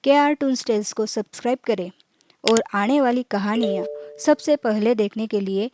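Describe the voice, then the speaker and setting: A woman narrating a scripted children's moral story as a storyteller, in measured, clearly phrased reading. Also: fairly high pitch, background noise.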